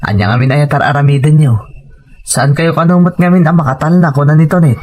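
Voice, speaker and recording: A man's voice: fairly high pitch, fast talker, darkish tone, slight background noise, natural voice. An actor with an Ilocano accent playing a hardworking, tender, worried family man in a radio drama.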